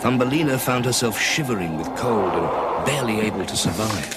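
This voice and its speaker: A man narrating a fairy tale as a scripted storyteller, with expressive delivery. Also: lowish voice, a little fast, loud music behind the voice.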